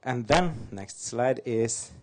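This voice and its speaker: A man's voice: medium pitch, lively intonation, slow, darkish tone. Casting: man from Norway, native Norwegian speaker, conference presenter, upbeat and light-hearted. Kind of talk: fast-paced slide talk. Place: conference hall.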